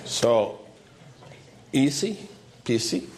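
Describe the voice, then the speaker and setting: A man with a loud voice and preaches in an informal, conversational way, repeating short phrases for emphasis. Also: medium pitch, very animated, very slow. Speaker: preacher leading a church service.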